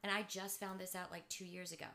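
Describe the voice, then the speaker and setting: A woman's voice: on the low side, level tone, fast, bright, forceful tone. A woman co-hosting a podcast, telling a family story in a chatty way and swearing playfully. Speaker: podcast co-host. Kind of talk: casual chat.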